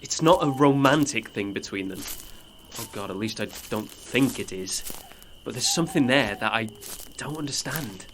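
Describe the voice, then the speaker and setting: A man's voice: mid-range voice, lively intonation, moderate pace, background noise, natural voice. An actor playing an earnest, stubborn young man with a hesitant manner, in an audio drama. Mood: uneasy, downcast. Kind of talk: naturalistic audio drama acting.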